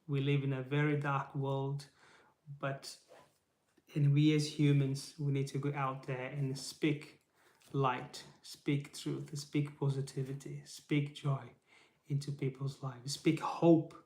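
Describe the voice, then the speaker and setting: A man's voice: medium pitch, level tone, slow. Earnest Portuguese-native man in an echoey room. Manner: earnest, encouraging, rhetorical questions, frequent "right?". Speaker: YouTube channel host. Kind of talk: casual live motivational talk to camera. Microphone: camera mic.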